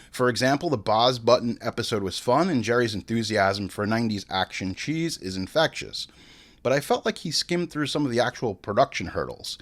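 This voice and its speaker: A man in his forties hosting a podcast, chatting casually in slangy, animated stories and acting out quotes. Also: mid-range voice. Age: 40s. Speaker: podcast host. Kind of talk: casual solo podcast talk.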